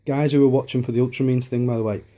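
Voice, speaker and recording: A man in his thirties from Liverpool, chatting casually on a home vlog with a softened Liverpool accent, rambling with many restarts and ums, filmed on a handheld camera in his dining room. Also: mid-range voice, steady tone, very fast. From Liverpool, England. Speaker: hobbyist vlogger. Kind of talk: casual home video chat.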